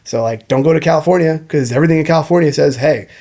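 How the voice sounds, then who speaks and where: A man in his early thirties talking as a nutritionist guest on a casual podcast, animated and easily exasperated, calling people dude. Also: on the high side, rapid-fire. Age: 30s.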